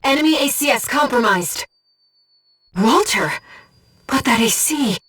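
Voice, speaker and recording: A woman voicing a gentle, disembodied young female companion in a video game, giving a mercenary pilot composed, earnest and precise tactical reports in a soft, breathy voice. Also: high voice, animated, measured pace, far from the mic, faint music behind, heavily acted. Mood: urgent, then troubled, solemn. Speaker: mission operator companion.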